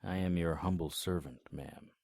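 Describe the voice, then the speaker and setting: A man's voice: sits low, normal speed, darkish tone, no echo. A man narrating and acting the lead in a noir audio drama, dry and wry in manner.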